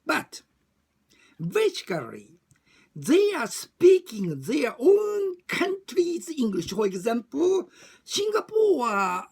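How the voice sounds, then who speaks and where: A woman with a Japanese accent speaking non-native English in a halting, emphatic way, repeating phrases as in an informal personal talk. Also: on the high side, vocal fry, slightly rough. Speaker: discussion group chair and facilitator. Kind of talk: informal monologue about personal experience.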